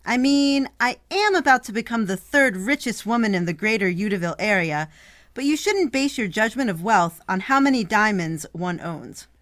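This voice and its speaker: Actress as a fed-up wealthy client, animated, dry recording. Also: female voice, mid-range voice, normal speed, dry recording, lightly acted. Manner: exasperated, impatient. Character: impatient female client, a wealthy young woman.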